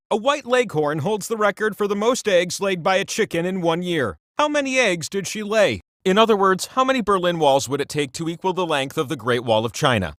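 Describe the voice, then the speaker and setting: An omnipotent, cheeky wheel hosting a trivia video game. The voice sits high and swings theatrically up and down, mixing quiz-show narration with wry asides. It is recorded close and very dry. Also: male voice, a bit bright, no echo.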